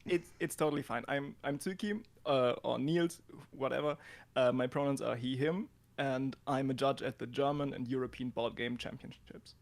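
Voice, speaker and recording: A man's voice: medium pitch, fairly even intonation, normal speed, vocal fry. A man who judges board game contests, introducing himself hesitantly on a video call. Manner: hesitant, self-deprecating. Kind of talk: casual roundtable chat.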